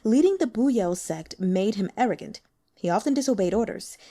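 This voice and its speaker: A woman voicing a smooth, persuasive older male sect elder who speaks in a coaxing way. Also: medium pitch, animated, moderate pace, mellow.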